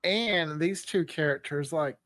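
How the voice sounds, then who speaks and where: A man's voice: a little high, a little slow, a bit bright, dry recording. A man talking casually as one of the writers on a behind-the-scenes podcast. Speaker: audio drama writer and podcast host. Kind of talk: casual chat.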